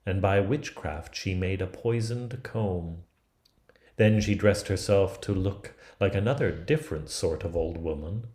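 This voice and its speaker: A man in his fifties from Canada narrating a fairy tale as a storybook reader, with deliberate, dramatic phrasing. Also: sits low, a little mellow, reverberant. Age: 50s.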